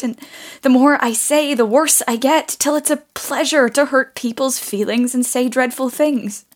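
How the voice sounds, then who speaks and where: A woman playing a passionate, self-reproaching teenage daughter in an audio play, with an intense, confessional delivery. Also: high-pitched.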